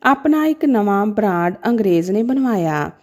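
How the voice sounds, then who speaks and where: A woman's voice: medium pitch, animated, normal speed, darkish tone. A woman narrating a scripted story in a dramatic, emotive manner.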